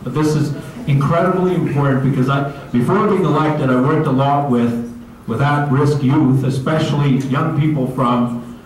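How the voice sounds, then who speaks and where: A man's voice: mid-range voice, a little mellow. Give me a man answering on a debate panel in an echoey hall. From Canada. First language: Punjabi. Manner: earnest, hesitant with restarts. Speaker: elected politician on a panel. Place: large hotel meeting room. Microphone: handheld mic. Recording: far-off echoey hall, audible room noise.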